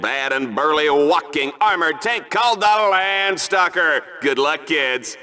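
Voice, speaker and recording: A man's voice: a little high, pushed and bright. A man playing a smarmy, gleeful announcer of a deadly combat sport in a video game, with showy, mock-dramatic delivery. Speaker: sports show host.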